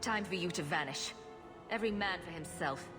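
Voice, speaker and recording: A woman's voice: on the low side, a little fast, long reverb tail, music in the background, natural voice. An actress playing a self-assured, wry female adventurer in a video game. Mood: wry, challenging.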